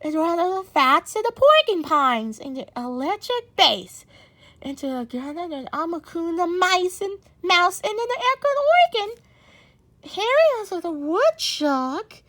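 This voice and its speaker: A woman reading a storybook aloud in a halting, stumbling way, often muddling her words. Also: very high-pitched, very animated, fairly bright tone.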